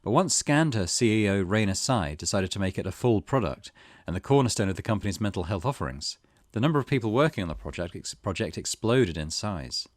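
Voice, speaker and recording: A man's voice: fairly low pitch, lively intonation, a little fast, hardly any pauses, no echo, clean studio sound. A man hosting a let's play, reading game text aloud and adding his own commentary.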